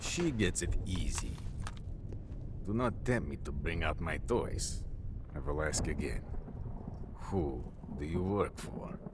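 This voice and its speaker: A man playing a menacing, sardonic Soviet interrogator in a video game scene, slow and coolly threatening. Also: very deep voice, expressive, full character voice. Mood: cold menace. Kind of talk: video game cinematic acting. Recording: loud noise and ambience behind.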